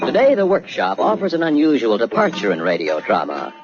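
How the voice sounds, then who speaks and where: A man's voice: a little high, huge pitch swings, fairly quick. A man announcing a radio drama in a formal, theatrical old-time broadcast style. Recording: dull vintage radio recording, faint music.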